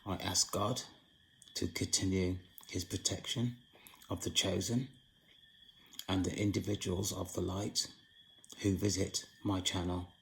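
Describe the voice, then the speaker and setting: A hoarse man hosting his own channel, giving a solemn spiritual monologue in a slow, halting way with long pauses. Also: low voice, flat delivery, a bit bright, a little hiss or hum, rough recording. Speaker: channel host.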